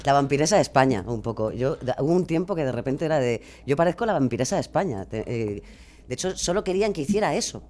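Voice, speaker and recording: A woman with a Castilian Spanish accent talks casually as an interview guest. She is expressive and rambles a little, with a self-deprecating, informal way of explaining herself. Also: a bass voice, quick pace. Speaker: guest, true-crime podcaster. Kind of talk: casual radio interview chat.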